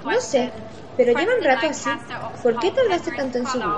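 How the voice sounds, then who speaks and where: A woman's voice: sits high, very animated, brisk, a loud crowd. A woman with an accent from Spain playing a bossy but reassuring senior school leader in an amateur radio play. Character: bossy, reassuring female former house head at school. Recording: dull, faintly noisy home recording.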